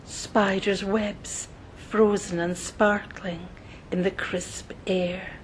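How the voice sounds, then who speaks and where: A woman narrating a scripted guided meditation in a soothing, measured manner, pausing between short phrases, with soft breathy phrase endings. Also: level tone, low-quality recording. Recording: noticeable low rumbling background noise.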